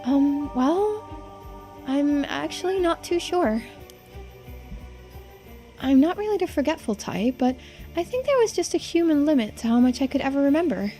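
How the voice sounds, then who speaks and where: A woman's voice: high voice, big pitch swings, moderate pace, pauses often, mellow, soft background music. A woman voicing a playful, ageless teenage girl in a video game, with a hesitant, understated and coy way of talking. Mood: reflective, playful. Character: immortal centuries-old girl who looks seventeen, coy, playful. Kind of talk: voiced visual novel playthrough.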